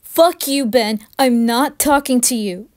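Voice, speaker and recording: A woman narrating a first-person horror story with dramatic, anguished intensity and a breathy delivery. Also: fairly high pitch, unhurried, a little mellow, dry recording, studio quality.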